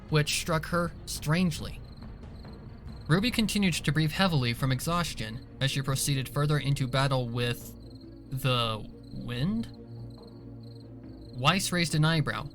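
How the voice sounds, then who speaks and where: A man reading scripted dramatic narration for a fan fiction story. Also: on the high side. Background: soft music behind the voice.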